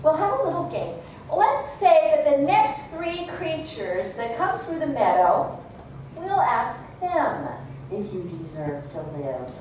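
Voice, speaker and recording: A woman's voice: sits high, sing-song, slow pace, darkish tone, exaggerated voice. A woman storyteller voicing a treacherous, wheedling snake who mocks as readily as it coaxes, in a folktale. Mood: taunting. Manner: wheedling, mocking. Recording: echoey room, distant mic, faint noise.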